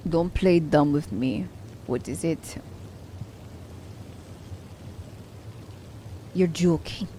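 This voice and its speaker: A woman's voice: sits low, a little slow, warm tone, a little hiss or hum. A woman playing a sly, commanding assassin who teases her captive werewolf in an ASMR roleplay, with a haughty, teasing manner. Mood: incredulous, mocking.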